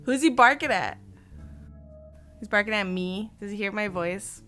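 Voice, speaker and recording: A woman hosting a casual livestream chat, her voice hoarse after losing it, giggling and chatting to her viewers. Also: medium pitch, unhurried, pushed and bright, faint music behind. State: hoarse, partly lost voice. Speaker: streamer host.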